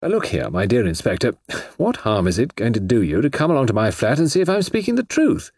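A man voicing a nonchalant, self-assured gentleman writer in an audiobook, urbane, chatty and a little wheedling. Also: medium pitch, very animated, fast talker, breathy edge, no echo, studio quality, pitched above the performer's own voice. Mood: pleading. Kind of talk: audiobook character voice.

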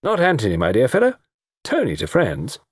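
Man acting young upper-class wag, theatrical, bantering, mock-gloomy, raised animated pitch, storybook dialogue. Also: fairly quick, slightly rough, no echo, clean studio sound. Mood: jovial, flippant. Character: flippant, melodramatic, lovesick young society gentleman.

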